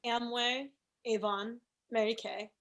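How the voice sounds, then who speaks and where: A woman's voice: on the high side, fairly even intonation, slow, fairly bright tone. A woman playing a needy, bossy actress girlfriend in a comedy table read. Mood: chirpy.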